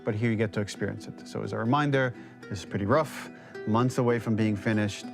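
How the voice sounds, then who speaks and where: A man's voice: on the low side, steady tone, fast, creaky. A man who works as a game developer gives informal, explanatory commentary on his work. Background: plucked guitar music.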